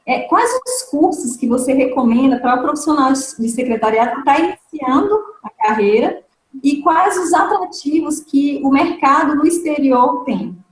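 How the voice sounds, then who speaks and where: A high-pitched woman host asks questions and encourages her guest. She sounds like she is in a roomy, echoing space, with a faint hiss in the background. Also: lively intonation, normal speed. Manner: encouraging, affirming. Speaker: interview host and teacher. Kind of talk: interview questions. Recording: echoey room with faint noise.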